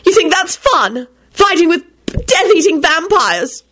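A woman voicing a shrill, upset young woman who shrieks in high, drawn-out lines. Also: wildly swooping pitch, pushed and bright, heavily acted. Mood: shrieking.

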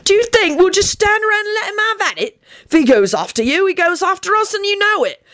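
A woman voicing a brash, vain, hot-headed young man in an audiobook story, loud and cocky. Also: sits high, huge pitch swings, moderate pace, dry recording, studio quality, pitched above the performer's own voice, full character voice. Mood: angry.

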